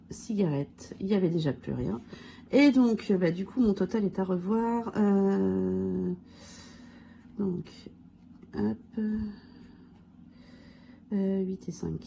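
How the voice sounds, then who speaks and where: A hoarse woman with a cold chats casually about her budget, counting amounts out loud and filling pauses as she goes. Her voice is gravelly and dark. A faint room ambience hums behind her. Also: medium pitch, a little hiss or hum. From France. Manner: counts money aloud, many fillers. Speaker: budget vlogger. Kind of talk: casual budget review talk.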